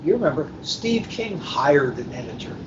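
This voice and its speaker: A man's voice: very animated, moderate pace. Older man on a dull, noisy recording. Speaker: book editor, podcast guest. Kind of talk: panel discussion on a live podcast. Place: hotel at a convention. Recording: dull, noisy internet-call recording with rumble.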